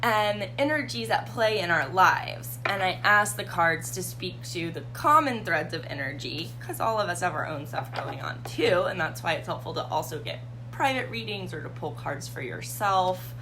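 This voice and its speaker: A slightly hoarse woman hosting a live tarot reading and chatting casually with her viewers. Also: lowish voice, expressive, normal speed, slight background noise, rough recording. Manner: chatty, warm, greets viewers by name.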